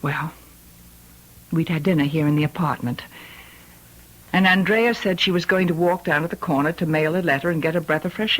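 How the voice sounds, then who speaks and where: A woman acting as a composed but worried confidante in an old-time radio mystery, speaking earnestly and deliberately. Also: lowish voice, natural voice, poor audio. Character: composed, worried woman confiding about her unstable housemate. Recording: old radio drama recording.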